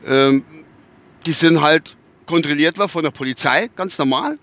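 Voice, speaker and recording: A man with a Westphalian accent talks through his podcast from inside a moving car. His voice sits slightly high for a man. He rambles, restarts sentences and hunts for words, with the odd casual swear. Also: normal speed, a bit bright. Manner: rambling, searching for words, many fillers and restarts. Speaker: podcast host. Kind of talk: casual spoken podcast monologue. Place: inside a car while driving.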